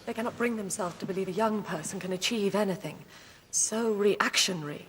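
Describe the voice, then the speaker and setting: An actress as gracious, gentle noblewoman. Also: mid-range voice, a little fast, slight background noise, close to an everyday voice. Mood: reassuring. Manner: gentle, diplomatic. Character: gracious, gently persuasive aristocratic lady patron. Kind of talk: television period drama acting. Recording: faint background ambience.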